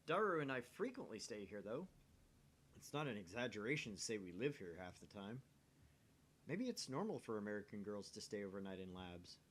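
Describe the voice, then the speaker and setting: A man with a very animated delivery and middling pitch hosts a gaming read-along. He moves between narration and casual banter. There is some background noise on the recording. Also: a little fast. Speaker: gaming video host reading a visual novel aloud.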